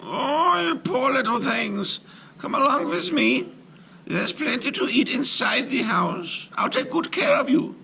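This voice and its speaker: A man voicing a gnarled, greedy old witch in a high, crackly voice for a read-aloud storybook. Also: sing-song, a little slow, vocal fry, bright, forceful tone, exaggerated voice. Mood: wheedling.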